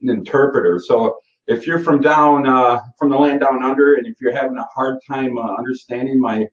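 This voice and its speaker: A man's voice: medium pitch, fairly even intonation, normal speed. A man in his forties with a Michigan accent, hosting a live course Q&A in a rambling, folksy way full of fillers and self-corrections. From Michigan, USA. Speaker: course host. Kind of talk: casual live Q&A session. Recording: roomy, distant and muffled webinar audio.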